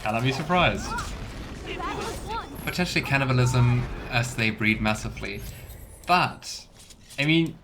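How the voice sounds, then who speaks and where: A man's voice: medium pitch, animated, unhurried, pauses often, noisy background. A man streaming a game, giving casual commentary, talking to chat and reading in-game text aloud. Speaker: streamer and let's player. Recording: faint game ambience behind voice. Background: faint video game ambience.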